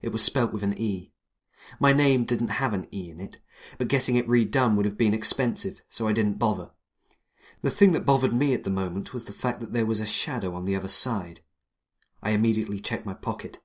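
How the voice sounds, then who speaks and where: Guy doing a hardboiled 1920s detective, deep husky voice, deadpan noir storytelling, kinda jaded. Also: a little fast. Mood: wry, brooding. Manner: dry, world-weary, deadpan. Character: wry, hardboiled 1920s male private detective. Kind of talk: first-person noir detective narration and dialogue.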